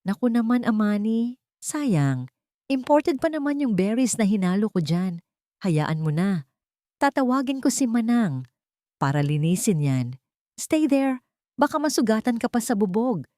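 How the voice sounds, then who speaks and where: A woman's voice: a little high, big pitch swings, a little slow, long pauses, dark tone, dry recording, studio quality, voice pushed up. Woman playing a falsely sweet, condescending woman. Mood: restrained irritation. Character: irritated woman hiding annoyance behind sweet concern. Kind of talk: audiobook drama acting.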